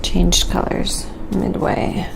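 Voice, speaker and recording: A soft-spoken woman chatting casually and quietly as she narrates what she is doing in an ASMR livestream, in an unhurried way. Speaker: livestreaming craft painter and host. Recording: clear background noise, windy ambience. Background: wind howling.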